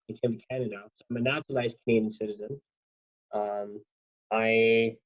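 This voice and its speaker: A man's voice: medium pitch, darkish tone. A man in his thirties from Pakistan, talking as an interview guest in a rambling, self-correcting way. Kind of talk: casual interview talk. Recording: dull lo-fi audio.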